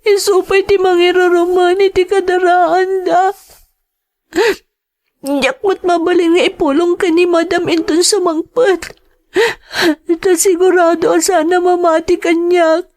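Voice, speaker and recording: Radio drama: despairing young migrant maid, Ilocano accent, very high pitched, slow, pleading, tearful delivery. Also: female voice, a little mellow. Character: anguished young woman working abroad as a maid.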